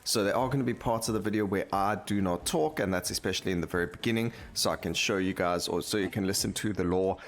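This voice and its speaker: Deep-voiced man doing game commentary. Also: fast talker, hardly any pauses. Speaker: gaming video creator. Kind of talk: casual gameplay commentary. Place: voice-over recorded over game audio. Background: game music.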